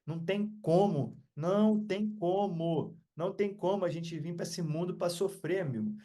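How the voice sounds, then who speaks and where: Brazilian guy on a podcast, bit high pitched, earnest and reflective, chatting motivational stuff. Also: moderate pace, dark tone. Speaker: podcast guest. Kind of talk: casual podcast conversation.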